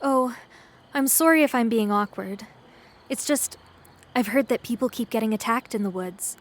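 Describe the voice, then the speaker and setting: A woman's voice: a little high, normal speed, close to an everyday voice. A woman playing a sweet, awkward, anxious young woman in a comedy horror audio drama, hesitant and apologetic. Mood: awkwardly evasive.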